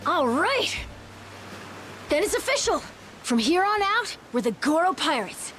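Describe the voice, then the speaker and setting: A woman's voice: extremely high pitch, sing-song, a little slow, fairly bright tone, faint music behind, exaggerated voice. A woman voicing an eager, wide-eyed young pirate crewmate in a video game, gushing with childlike enthusiasm. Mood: excited.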